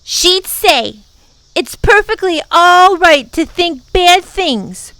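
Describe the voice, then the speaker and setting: A woman's voice: extremely high pitch, lively intonation, slow pace, bright, forceful tone, no room sound, pitched above the performer's own voice, full character voice, rough recording. A woman voicing an upset, outspoken little girl in a children's story, protesting with prim, scolding emphasis. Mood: tearful indignation.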